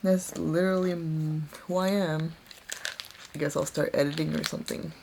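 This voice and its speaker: A woman of twenty-nine speaks in a casual personal vlog. Her voice sits a little on the low side, and she talks in a candid, reflective way, as if thinking through her own life. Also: steady tone, normal speed, background noise. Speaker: vlogger.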